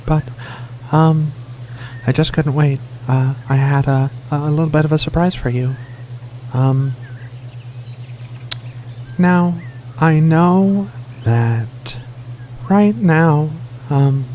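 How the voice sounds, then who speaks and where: A giant alien cat researcher, played by a man in an ASMR roleplay, speaks very slowly and softly in a slightly high voice. The delivery is bashful, halting and apologetic, full of gentle affection. Faint ambient noise sits behind it. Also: rough recording. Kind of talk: soft-spoken ASMR roleplay.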